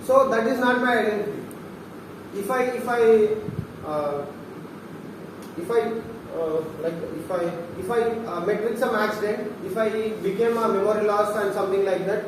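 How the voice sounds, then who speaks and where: A young man with a Telugu-Indian accent, a philosophy student giving a seminar talk, halting with many restarts and fillers. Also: high voice. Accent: Indian English, Telugu speaker. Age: young adult. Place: seminar room. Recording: echoey room, mic far off, noisy.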